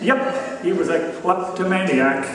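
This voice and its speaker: A man's voice: sits high, measured pace, crowd noise. A man playing a hapless, nervous husband in an old-time radio comedy, with a theatrical delivery on a theater stage. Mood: matter-of-fact comic. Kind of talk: 1940s radio comedy acting. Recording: echoey hall from far off, noisy.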